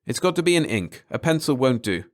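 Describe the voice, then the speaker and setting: A man's voice: medium pitch, sing-song, brisk, voice pushed up, natural voice. A man doing a stubborn, greedy inventor. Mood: insistent. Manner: insistent and clamoring.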